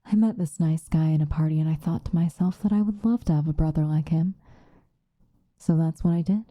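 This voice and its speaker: A woman playing a possessive, obsessive female vampire lover in an ASMR roleplay, sinister yet tender, intimate and breathy, often slipping into whispers. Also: low voice, mellow, no room sound, lightly acted. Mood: reflective, proud. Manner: intimate, breathy, drawn-out seductive delivery, often dropping into whispers.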